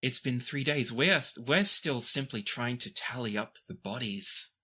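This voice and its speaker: A man voicing a gracious, formal princess in a tabletop game, speaking with measured, courtly diction. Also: mid-range voice, expressive, pushed and bright, slightly rough, full character voice. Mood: grave, concerned. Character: formal, gracious young royal princess, concerned for her brother.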